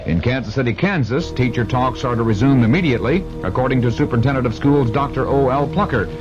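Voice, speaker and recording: A man reading a local news bulletin on the radio in a brisk, even newsreader delivery. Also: mid-range voice, over loud music. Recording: dull old radio broadcast, noisy.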